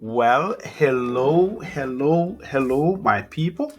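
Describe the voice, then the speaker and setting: A man's voice: mid-range voice, expressive, slow, loud music behind the voice. A man hosting an interview podcast, greeting his audience playfully and asking his guest questions. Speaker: podcast host.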